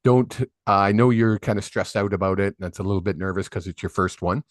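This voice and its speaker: A man's voice: sits low, fast talker, dry recording, clean studio sound. A man hosting a live psychic reading stream, chatty and rambling with lots of fillers, on a desk microphone. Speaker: psychic medium host. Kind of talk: casual live-stream chat. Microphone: desk microphone on a video call.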